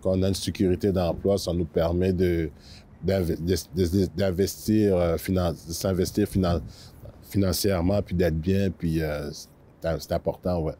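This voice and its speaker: Man, very low dark voice, halting interview answer. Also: fairly even intonation, slight background noise. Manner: halting, restarts words. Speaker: immigrant interviewee. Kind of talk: interview talk.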